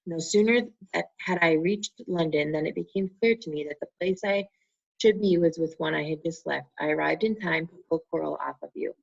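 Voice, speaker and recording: A woman voicing a kindly old male headmaster wizard in a soft, calm, unhurried manner, read as a storybook. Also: sits low, gravelly. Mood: gentle. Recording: muffled lo-fi recording.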